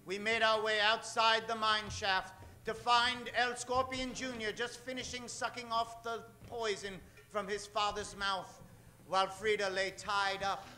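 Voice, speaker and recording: Male old-time radio narrator-host: mock-serious, theatrical, very high pitch, sharp bright projection. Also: level tone, unhurried.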